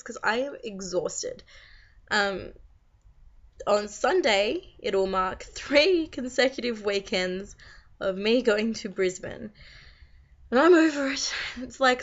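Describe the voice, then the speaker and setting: Croaky tired Australian woman vlogging. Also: mid-range voice, a bit bright. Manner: tired, rambling, venting. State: croaky from a long cold. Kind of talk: casual chat to camera. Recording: slightly dull lo-fi recording.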